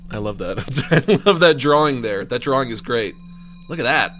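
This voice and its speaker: A man's voice: mid-range voice, lively intonation, moderate pace, a bit bright. A man with a general American accent, rambling goofily while streaming a video game, often laughing at his own jokes. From New England. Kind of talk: casual live game commentary. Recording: game music playing behind.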